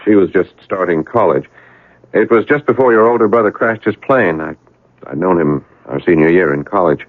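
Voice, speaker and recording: An actor playing a concerned young family doctor in a 1970s radio drama, speaking courteously and tentatively. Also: male voice, deep voice, moderate pace. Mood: earnest, reminiscing. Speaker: actor in a radio mystery play. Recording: narrowband old radio broadcast.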